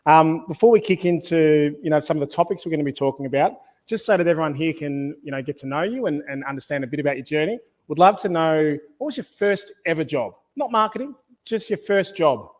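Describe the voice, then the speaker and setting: A man's voice: a little high, a little fast. A man moderating a conference panel on stage, upbeat and encouraging with his guests.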